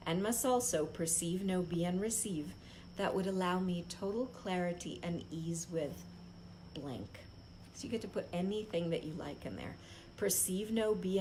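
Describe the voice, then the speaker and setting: Female read-along host, mid-pitched, unrehearsed book reading in deliberate short phrases, slightly noisy recording. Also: darkish tone. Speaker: host reading a book aloud on a live stream. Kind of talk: impromptu read-aloud of a self-help book.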